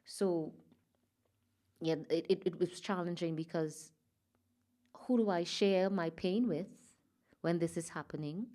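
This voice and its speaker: A woman from Trinidad and Tobago, a guest in an interview, talking softly and reflectively with many pauses and fillers. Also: on the low side. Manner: soft, reflective, halting with fillers. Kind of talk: personal interview.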